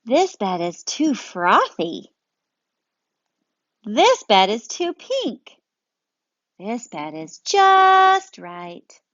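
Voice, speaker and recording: A woman voicing a fussy grown-up male bear who complains slowly in a storybook read-aloud. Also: a little high, very animated, a bit bright, no echo, exaggerated voice. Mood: picky. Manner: slow, drawn-out complaints. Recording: dry, dull lo-fi sound.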